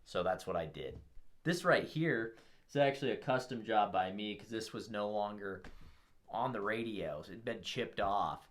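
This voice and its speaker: A man's voice: on the low side, bright, forceful tone. A man presenting a restoration project as host of a show-and-tell video, speaking in an upbeat, emphatic and enthusiastic way.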